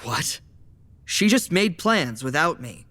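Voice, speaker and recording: A man's voice: on the high side, very animated, slow, sharp tone, dry recording, exaggerated voice. A man playing a sullen, sarcastic young male vampire in an audio drama, talking in a casual grumble. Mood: annoyed, sulky.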